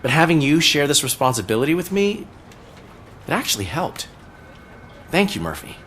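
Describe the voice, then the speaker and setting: An actor playing a weary, soft-hearted male police officer in a video game, hesitant and apologetic. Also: on the high side, big pitch swings, a little hiss or hum. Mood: sad, grateful. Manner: hesitant, halting.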